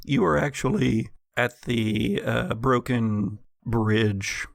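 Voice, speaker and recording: Male American game master for gothic tabletop narration; slow, theatrical delivery, dry recording. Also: medium pitch, vocal fry, no room sound.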